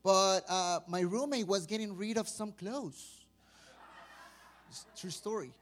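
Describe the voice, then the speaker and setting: A Mexican-accented man whose first language is Spanish gives a relaxed, joking sermon. His voice sits fairly high for a man, and he keeps the tone loose and conversational. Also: measured pace. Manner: joking, chatty, informal. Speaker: pastor. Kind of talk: informal sermon.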